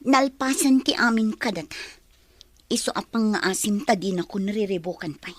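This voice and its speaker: Radio drama role: cold, resolute woman nursing a grievance, played by an actress, breathy-rough voice, dry studio. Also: medium pitch, lively intonation, normal speed, dry recording, natural voice. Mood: bitter. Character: bitter, resolute wronged mother.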